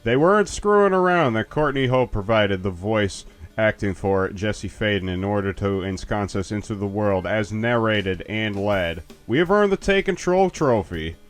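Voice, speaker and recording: Man, casual game commentary, jokey and animated, faint music behind. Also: lowish voice, normal speed. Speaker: let's play commentator.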